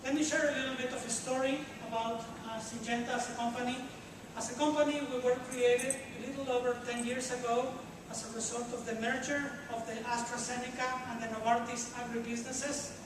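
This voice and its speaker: A middle-aged man with a Mexican Spanish accent presents at a conference, measured and earnest, often pausing on "uh". He stands well away from the mic in a hotel meeting room. The sound is very echoey and carries a steady hum of room noise. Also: very high voice. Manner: measured and earnest, frequent "uh" pauses. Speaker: conference panelist, HR executive. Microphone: room mic from a far camera, standing and unmiked. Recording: echoey hall from far off, noisy.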